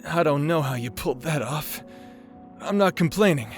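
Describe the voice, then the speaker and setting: A man playing a weary, self-deprecating dying warrior and fond mentor, speaking gently and haltingly in a dramatic audio roleplay. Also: a little high, animated, faint music behind. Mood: fond, wistful.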